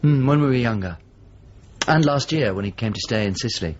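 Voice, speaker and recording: A male actor playing a middle-aged painter in a radio drama, measured, inquiring and a little hesitant, with a husky voice. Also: medium pitch, lively intonation, highs cut off, slight background noise, natural voice. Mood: reflective. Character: Italian painter, probing visitor seeking an old friend. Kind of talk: naturalistic radio drama acting.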